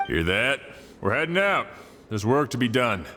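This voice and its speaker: A man voicing a steady, commanding male team leader in a game, giving firm directions. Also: medium pitch, normal speed, long reverb tail, a little hiss or hum. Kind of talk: voiced game dialogue.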